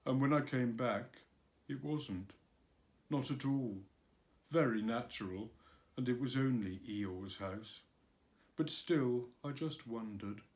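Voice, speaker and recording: A man's voice: fairly low pitch, a little slow, lightly acted. A man voicing a gloomy, grumbling male donkey in a storybook reading, sighing and self-pitying.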